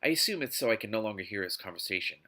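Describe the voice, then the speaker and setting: Bright, forceful man, exasperated and emphatic. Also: on the low side, animated, very fast. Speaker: reader and commentator on a book. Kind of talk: scripted reading broken by indignant commentary.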